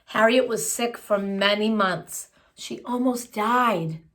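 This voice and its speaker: A woman's voice: fairly high pitch, slow, fairly bright tone. A woman reading a children's picture book aloud, phrasing it expressively and adding simple explanatory asides for young listeners. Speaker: children's story reader.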